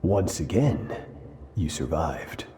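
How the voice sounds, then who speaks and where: An actor playing a cruel, commanding sword master in a video game, speaking in a stern, solemn, deliberate way. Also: male voice, extremely low pitch, lively intonation, full character voice. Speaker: mentor. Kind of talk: dramatic video game voice acting.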